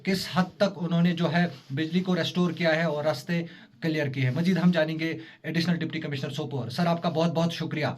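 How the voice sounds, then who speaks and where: A man's voice: fairly high pitch, steady tone. A man with a Kashmiri accent reporting and interviewing as a news journalist, hesitant and repeating himself as he goes. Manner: hesitant, halting delivery with repeated phrases.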